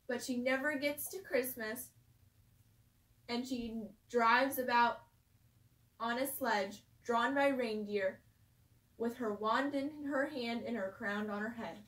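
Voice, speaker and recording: A woman reading a children's storybook aloud slowly and carefully, as a teacher at a school. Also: fairly high pitch. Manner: slow, careful read-aloud. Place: school room. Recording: echoey room, voice far from the mic.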